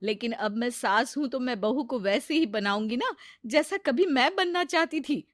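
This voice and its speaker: An actress playing a kind, protective middle-aged mother-in-law in a family story, gentle and reasoning. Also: a little high, animated, moderate pace, dry recording, studio quality, natural voice. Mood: calm, reasoning.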